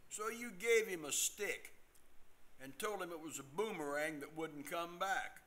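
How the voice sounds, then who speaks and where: A man's voice: on the high side, a little slow, bright, forceful tone, slight background noise. An older man playing a droll, wisecracking nephew in a staged play reading. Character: wisecracking, droll joke-loving nephew Jim.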